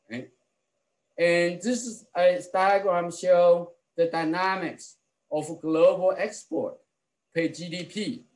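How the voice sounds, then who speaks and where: A middle-aged man delivers a keynote lecture over a video call. His voice sits somewhat high, and he speaks in a measured, halting way, often pausing on fillers and restarting phrases. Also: rough recording. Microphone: video call webcam.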